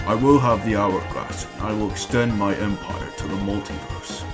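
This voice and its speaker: Guy doing a ruthless comic book conqueror, low gravelly voice, arrogant threats, music behind him. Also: animated, moderate pace, close to an everyday voice. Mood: grandiose fury. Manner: menacing, grandiose threats. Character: ruthless, arrogant male conqueror villain bent on empire. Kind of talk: amateur dramatic reading of comic fan fiction. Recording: music under the voice.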